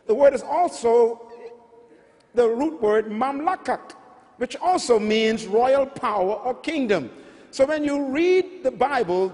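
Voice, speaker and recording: A man's voice: very high voice, a little mellow. A middle-aged man with a Bahamian accent preaching a sermon on a large arena stage with a handheld mic, teaching emphatically and repeating key lines. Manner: emphatic, repetitive teaching with rising calls. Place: large arena stage before a big audience. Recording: large hall with long reverb.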